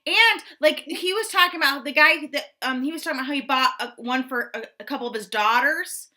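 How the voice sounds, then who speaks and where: Female co-host, bright tone, a little high, conversational explainer, keen and emphatic. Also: fast. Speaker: show co-host. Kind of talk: casual explanatory chat.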